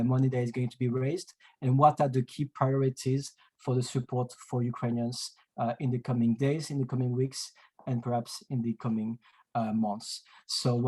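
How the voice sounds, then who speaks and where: A man from France with a French accent, hosting an online webinar in a halting way, full of fillers and restarts, heard over a video call. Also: mid-range voice, darkish tone. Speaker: webinar host.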